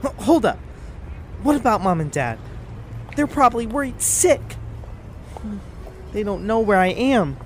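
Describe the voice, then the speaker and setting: An actor voicing an excitable, awestruck boy who talks aloud to himself in a scripted audio drama. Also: mid-range voice, measured pace, pauses often, slight background noise. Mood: worried. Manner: exclamatory, talking aloud to himself. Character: excitable, awestruck boy, comic book fan.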